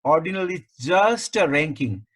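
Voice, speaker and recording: A man with a fairly high voice teaches an online class. He explains in short, segmented phrases, deliberately restating each point as a tutor would. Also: lively intonation. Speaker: statistics tutor, lecturer. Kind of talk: explanatory online lecture over slides.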